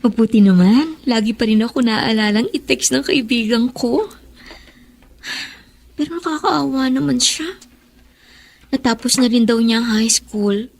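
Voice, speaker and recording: A woman playing a young woman who is fond and sympathetic toward a friend, with a creaky voice, in a radio drama. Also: fairly high pitch, unhurried, pauses often. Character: young woman fondly pitying her faraway friend.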